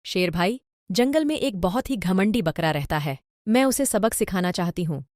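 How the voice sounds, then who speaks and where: A woman's voice: mid-range voice, lively intonation, moderate pace, darkish tone, no room sound, clean studio sound, close to an everyday voice. A woman voicing a bold little female squirrel in a cartoon, firm and reproachful. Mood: determined.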